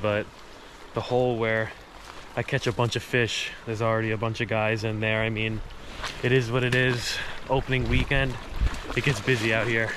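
A man's voice: lowish voice, noisy background. An American man in his thirties hosting a casual outdoor fishing vlog, talking to viewers in a laid-back way. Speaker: fishing channel host. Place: outdoors by a wooded river and road. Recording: loud music under the voice. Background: loud music.

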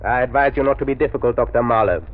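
A dull old radio recording carries an actor as a male villain with a medium-pitched voice. He is a state agent, coldly courteous, measured and threatening, with grand ambitions. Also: natural voice. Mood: cold menace. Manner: measured, formal, menacing. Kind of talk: 1930s radio drama villain acting.

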